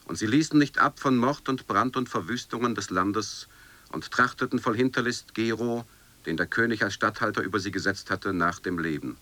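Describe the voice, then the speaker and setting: Male radio narrator, crisp bright tone, formal scripted delivery, measured chronicle style. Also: fairly low pitch. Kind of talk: scripted radio recitation of chronicle and news reports.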